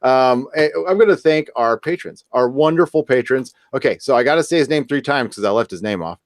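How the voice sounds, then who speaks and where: A man's voice: mid-range voice, animated, a little fast, no echo, clean studio sound. A man hosting a podcast in loose, joking, casual chat and reading out comments. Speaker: podcast host.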